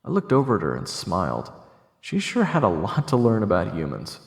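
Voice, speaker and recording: A man playing a calm, courteous investigator in an audio drama, measured and low-key, with a creaky voice. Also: heavily acted. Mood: reflective. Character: calm, courteous male investigator telling his own tale. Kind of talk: audio drama dialogue and first-person narration. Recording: echoey, long reverb, music behind.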